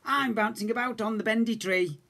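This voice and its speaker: A man's voice: high-pitched, normal speed, creaky, pushed and bright, pitched above the performer's own voice, exaggerated voice. A man voicing an eager, proud young bear cub, calling out in a picture-book read-aloud. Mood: excited, proud. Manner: calling out, exclamatory, childlike boasting.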